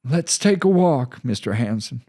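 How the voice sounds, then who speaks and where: A man voicing a calm, courteous army colonel in an audio story, formal, measured and used to being obeyed. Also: mid-range voice, voice pushed up. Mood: commanding.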